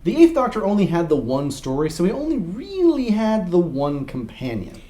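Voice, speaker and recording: A man's voice: sits high, huge pitch swings, moderate pace, mellow. A young adult man, co-hosting a scripted explainer to camera. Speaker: co-host. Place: small indoor room set with whiteboard.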